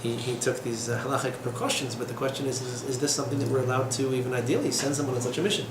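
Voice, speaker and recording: A man's voice: medium pitch, fairly even intonation, racing. A man in his thirties teaching a class in a small room, talking informally with digressions, fillers and sardonic humor. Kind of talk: informal lecture with asides and jokes. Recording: echoey room with background noise.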